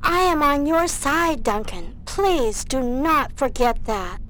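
A woman playing a soothing, devoted school dean in an audio drama, speaking in a measured, reassuring way. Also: high voice, lively intonation, a bit bright, a little hiss or hum, exaggerated voice.

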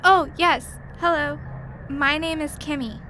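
A woman's voice: high-pitched, fairly even intonation, unhurried, pushed and bright, a little hiss or hum, rough recording. A woman in an audio drama playing an earnest young alien girl who speaks in stiff, formal phrases without contractions. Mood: polite, earnest. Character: earnest young alien girl desperate to save her parents.

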